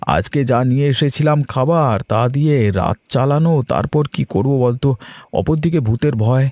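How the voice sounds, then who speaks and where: A man voicing a cautious, worried young man in an animated horror story, hesitant in manner. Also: medium pitch, moderate pace, no echo, close to an everyday voice. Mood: anxious complaint. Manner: hesitant, uneasy.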